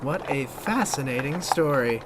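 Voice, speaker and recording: A man's voice: medium pitch, lively intonation, unhurried, heavy background noise, close to an everyday voice. A young man playing a polite, bemused narrator of a comic gothic audio drama, speaking formally. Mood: uneasy, narrating. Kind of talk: scripted first-person narration and comic drama acting.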